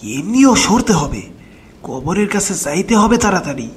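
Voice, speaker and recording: An actor playing a grave village cleric in a horror audio drama, urgent and ominous, with a rural Bangladeshi Bengali accent. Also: male voice, high-pitched, sing-song, moderate pace, slight background noise. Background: background music.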